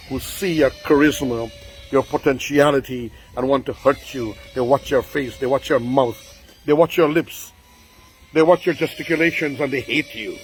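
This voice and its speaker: A man's voice: mid-range voice, a little hiss or hum. A man, speaking to viewers in a grand, ornate monologue with lots of repetition. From Guyana. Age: 60s. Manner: grandiloquent, ornate word choice, repeats for emphasis. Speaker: online show host.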